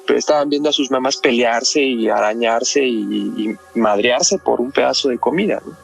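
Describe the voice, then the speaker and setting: Gravelly Mexican man explaining, music behind. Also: medium pitch, moderate pace. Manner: explains step by step, often adding tag questions. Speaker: psychoanalyst, podcast guest. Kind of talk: explanatory conversation about a crime case.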